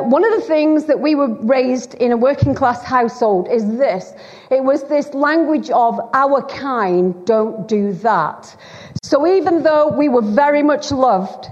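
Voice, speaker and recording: A middle-aged woman with a Lancashire accent, preaching a chatty, teasing sermon to a church congregation. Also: high voice. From Bolton, Greater Manchester, England. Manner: chatty, teasing, self-deprecating. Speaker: guest preacher. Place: church hall. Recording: dull, long hall reverb.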